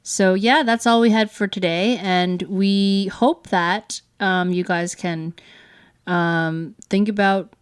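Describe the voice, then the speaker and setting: A woman's voice: mid-range voice, fairly even intonation, slow pace, smooth. A woman co-hosting a family show as a parent, speaking in a casual, conversational style.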